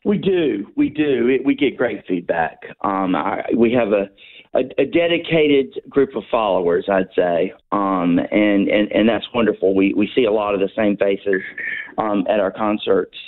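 A man's voice: fairly low pitch, lively intonation, a little slow. Southern American man in his sixties on a phone line. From North Carolina, USA. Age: 60s. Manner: hesitant, many ums and restarts. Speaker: radio guest, brass band member. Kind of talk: casual radio interview.

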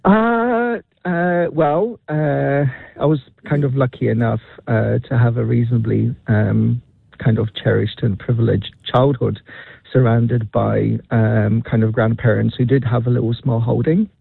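A man's voice: mid-range voice, fairly even intonation, a little slow, creaky, husky, no echo. A man with a Polish accent, calling in to a radio talk show and chatting with lots of fillers and laughs. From Poland. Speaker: caller. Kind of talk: casual radio chat. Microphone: phone. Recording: tinny phone line.

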